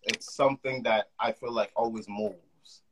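Young male podcast host, husky tone, eager and loose delivery. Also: fairly low pitch, steady tone, measured pace, rough recording. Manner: enthusiastic, talks in loose run-on phrases. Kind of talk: casual conversation. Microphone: call.

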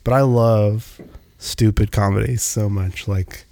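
A man's voice: lowish voice, steady tone, slow, gravelly, dark tone, no room sound, studio quality. A man in his twenties chatting casually, rambling with lots of "like" and fillers. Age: 20s. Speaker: musician guest. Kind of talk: casual chat.

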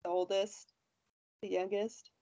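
A woman's voice: medium pitch, little pitch movement, dark tone, dry recording. Woman, forties, podcast co-host, teasing banter, lo-fi sound. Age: 40s. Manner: teasing, quick interjections. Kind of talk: casual group chat. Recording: dull lo-fi sound.

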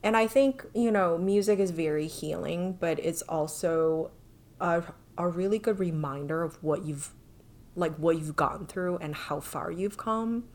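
A woman's voice: on the low side, measured pace, a little mellow. A woman co-hosting a podcast, chatting in a thoughtful, casual way with lots of filler words. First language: not Korean. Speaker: podcast co-host. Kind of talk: casual chat.

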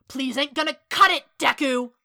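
A woman voicing a furious, foul-mouthed teenage boy bully in a dramatic story reading, shouting and snarling with venom. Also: high voice, normal speed, pitched above the performer's own voice, exaggerated voice. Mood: enraged. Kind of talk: dramatic fan fiction reading.

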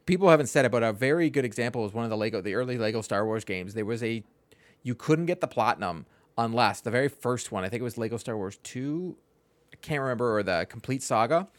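A man co-hosts a podcast in a casual, opinionated way. His pitch is average for a man. The recording is dry, with no room sound around the voice. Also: expressive, fast talker, no room sound. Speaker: podcast co-host.

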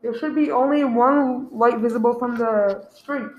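A woman's voice: fairly high pitch, fairly even intonation, a little slow, darkish tone, lightly acted. A woman playing a cold, businesslike male criminal giving curt orders in a radio play. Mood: cold, instructing. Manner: curt, instructing. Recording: dull, echoey room recording.

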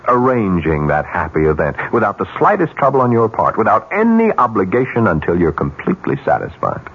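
An actor playing a smooth, calculating broker of arranged murders in a 1970s radio drama, persuasive and businesslike. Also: male voice, on the low side, wildly swooping pitch, a little fast, full character voice. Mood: quietly persuasive. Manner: businesslike, persuasive, coolly insinuating. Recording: muffled, noisy 1970s radio broadcast.

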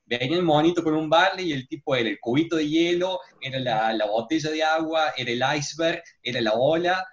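A man's voice: a little high, a little fast. A man in a casual chat, talking animatedly as he tells a story. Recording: muffled lo-fi mic.

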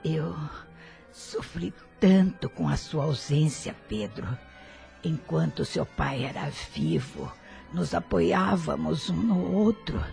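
An actress playing an ailing elderly mother in a radio drama, speaking softly and imploringly. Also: deep voice, sing-song, slow, creaky, soft background music, heavily acted. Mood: tender. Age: older. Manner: soft, imploring.

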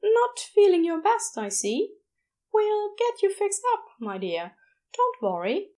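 A woman voicing a kindly, chatty male nurse in an audiobook, with a soothing, fussy and gently joking manner. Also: extremely high pitch, sing-song, slow pace, voice pushed up, full character voice. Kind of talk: expressive audiobook character voice.

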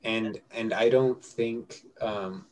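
A man's voice: on the low side, fairly even intonation, slow, darkish tone. A young man telling stories in a casual, slangy chat with friends, as a podcast co-host. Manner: slangy, conversational. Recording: clear background noise and ambience.

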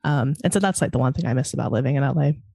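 A woman's voice: very deep voice, very fast, creaky, dark tone, husky, dry recording, clean studio sound. A woman from California with a Californian American accent, chatting casually in a hesitant, meandering way peppered with "like". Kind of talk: casual panel conversation.

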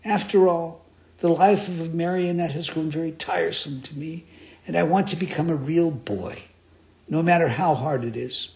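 A man's voice: on the high side, normal speed, gravelly, darkish tone. A man voicing a mischievous wooden puppet boy who whines, pleads and stammers eagerly. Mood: earnest. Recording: dull lo-fi recording.

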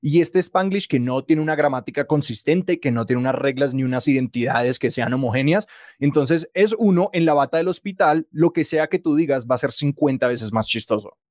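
A man's voice: medium pitch, brisk, a little mellow, no echo, clean studio sound. A man interviewing a guest in a casual chat, talking in a reflective way and building his points with images.

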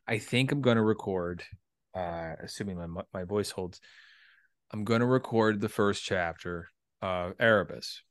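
A man's voice: on the low side, a little slow, dry recording, clean studio sound. A man hosting a podcast in casual chat, rambling hesitantly with a self-deprecating streak. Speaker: podcast host.

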